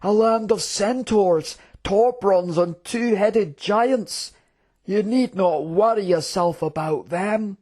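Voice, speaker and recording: A domineering imperial general from a fantasy storybook, put on by a narrator, voiced in a raised pitch. He is scornful and emphatic, stretching his words with disdain. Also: dark tone, lightly acted. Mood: scoffing. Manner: scoffing, emphatic, drawn-out.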